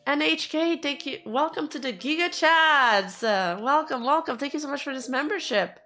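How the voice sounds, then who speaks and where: A hoarse woman streamer chatting casually and rambling with her viewers, laughing easily. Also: high-pitched, expressive, normal speed, sharp tone, faint music behind. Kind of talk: casual livestream chat with viewers.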